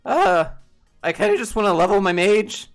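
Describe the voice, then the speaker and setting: Soft-spoken streamer, casual and rambling, slightly high voice, faint music behind. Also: male voice, moderate pace, smooth. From Southern United States. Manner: relaxed, rambling, laughs often, many fillers. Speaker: streamer and host. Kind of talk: casual live-stream chat.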